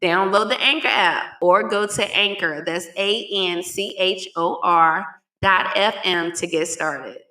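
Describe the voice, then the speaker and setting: A woman doing a casual, chatty sponsor read for a podcast, spelling things out slowly. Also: fairly low pitch, bright, forceful tone. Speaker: podcast ad reader. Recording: echoey room, distant from the mic.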